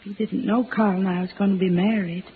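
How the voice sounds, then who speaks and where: A woman's voice: mid-range voice, steady tone, brisk, close to an everyday voice. An actress playing a frightened young backwoods woman with plain rustic grammar and a hesitant manner in a 1950s radio drama. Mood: subdued, confessing. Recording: old radio, echoing, faint hiss, narrow band.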